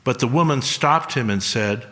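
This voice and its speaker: A man reading a solemn scripted story in a measured way, with pauses. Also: mid-range voice, pushed and bright. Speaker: reader at a church service. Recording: long hall reverb.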